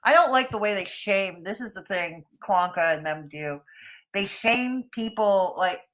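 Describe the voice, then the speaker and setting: Canadian woman host, mid-pitched bright voice, easygoing, laughs a lot. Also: animated, a little slow. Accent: Canadian English. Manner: laughs often, reads viewer comments aloud, self-mocking. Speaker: stream host. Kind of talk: casual livestream chat.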